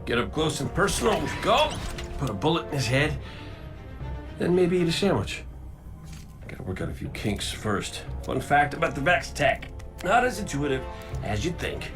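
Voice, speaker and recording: An actor playing a cocky, wisecracking robot gunslinger in a video game cutscene, talking in a flippant, theatrical ramble. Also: male voice, on the high side, sing-song, moderate pace, pauses often, music in the background. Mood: wry, subdued.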